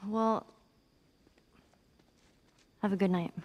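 A woman's voice: medium pitch, fairly even intonation, very slow, highs cut off. An actress with an American accent playing a spirited, wry, caring teenage girl in a video game drama. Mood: gentle, candid. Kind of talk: naturalistic video game cutscene acting.

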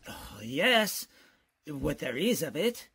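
A blustering older male professor from a radio comedy, acted by a woman. The voice is husky and slow, swinging widely in pitch. He stammers, fusses and backpedals in a flustered way. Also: very animated, a bit bright, heavily acted. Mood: fussy, indignant. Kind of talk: old-time radio comedy acting.

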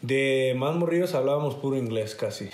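A man's voice: mid-range voice, animated, normal speed, a little mellow, reverberant. A man in his twenties, born in the US, telling stories from childhood in a conversational way full of fillers. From United States. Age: 20s. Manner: conversational, many "este" fillers. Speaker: guest. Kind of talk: casual storytelling. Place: garage set with couch, indoors.

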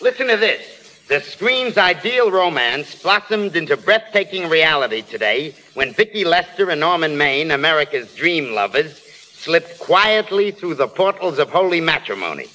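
An animated, sharp-edged cynical studio press agent in a vintage radio play. He is pushy and sarcastic, with a highish voice on a slightly noisy old recording. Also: moderate pace. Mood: hyping. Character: brash, cynical studio publicity man. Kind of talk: 1930s-40s radio drama acting.

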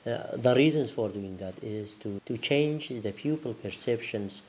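A man from a Kurdish region, interviewed, speaking slowly and searching for words. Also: medium pitch, a little mellow. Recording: faint hiss.

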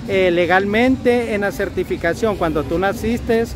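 A man interviewing someone outdoors in a city plaza, explaining things calmly and giving advice step by step. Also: high-pitched, measured pace. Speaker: street interviewer. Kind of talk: advising interview talk.

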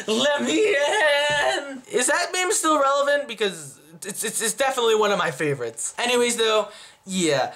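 A young man giving a casual, chatty movie review, rambling and interrupting himself as he goes. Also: extremely high pitch. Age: young adult. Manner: animated, rambling, self-interrupting. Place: small plain room at home.

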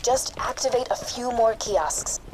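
A woman's voice: a little high, unhurried, background noise, natural voice. An actress playing a composed young female mission guide in a video game, instructive with dry wit.

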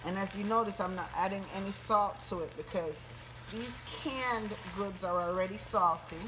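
A woman's voice: medium pitch, normal speed, background noise. A woman hosting a home cooking video, chatting casually and folksily to her viewers as she cooks at the stove. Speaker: home cooking channel host. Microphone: handheld phone camera.

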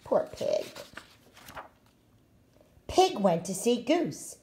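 A woman reading a children's picture book aloud in an expressive, deliberate way. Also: fairly high pitch. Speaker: storytime reader, school staff.

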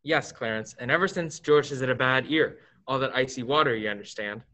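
A man's voice: medium pitch, brisk, bright, forceful tone, natural voice. A teenage boy playing an authoritative, businesslike senior angel in a staged radio play. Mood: calm, instructive.

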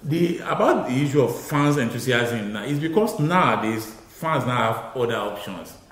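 A man's voice: mid-range voice, moderate pace. Emphatic Nigerian man in a roomy studio. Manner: emphatic, animated, repeats key points. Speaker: football pundit guest. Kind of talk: studio football discussion. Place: small TV studio with glass-block wall. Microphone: lapel.

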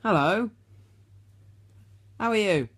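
A man's voice: high-pitched, expressive, slow pace, fairly bright tone, slightly rough. A young teenage boy, chatting casually and making phone calls in a room at home. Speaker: prank caller in a home video. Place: small room at home, indoors.

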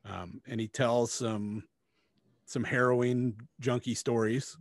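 A man's voice: mid-range voice, steady tone, slow, no room sound. A middle-aged man co-hosting a podcast in casual, blunt, sweary chat, speaking close to the microphone. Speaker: podcast co-host. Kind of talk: casual book review chat. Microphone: close podcast microphone with headphones.

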